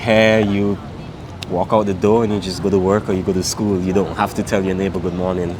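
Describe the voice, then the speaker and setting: Low-voiced man, relaxed. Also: a little fast, noisy background. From Saint Vincent and the Grenadines. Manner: relaxed, many fillers like "um" and "like". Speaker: interviewee. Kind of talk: casual street interview.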